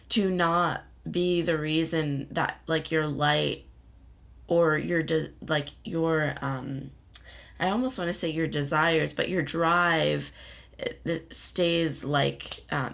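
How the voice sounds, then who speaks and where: Slow, creaky-voiced woman card reader. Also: low-pitched. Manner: meandering, searching for words, gentle. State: voice going in and out. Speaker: intuitive card reader and channel host. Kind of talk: casual spiritual card reading.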